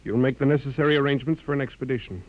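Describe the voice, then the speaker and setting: An actor playing a judicious, authoritative ship's captain in a 1950s radio drama, deliberate and formal. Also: male voice, medium pitch, fairly even intonation, lightly acted. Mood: deliberate, commanding. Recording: muffled, dull 1950s radio recording.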